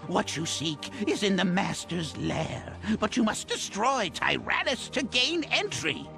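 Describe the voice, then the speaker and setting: A man's voice: high-pitched, big pitch swings, a little slow, gravelly, over music, heavily acted. A man voicing a boastful, pompous little warlock who fancies himself a master summoner in a video game. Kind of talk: video game character acting.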